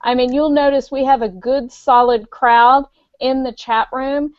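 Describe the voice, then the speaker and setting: A woman's voice: high-pitched, steady tone, a little slow, no echo. A woman guest author speaking earnestly and encouragingly in a casual interview. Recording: dry, dull lo-fi call audio.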